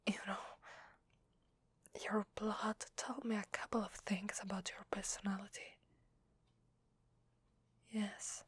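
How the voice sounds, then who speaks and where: Woman acting a vampire lady, Italian accent, very breathy whisper, timid and grateful, halting, ASMR roleplay, close dry mic. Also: mid-range voice, dry recording, exaggerated voice. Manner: hesitant, soft, halting. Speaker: ASMR voice actor. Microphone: close microphone, dry.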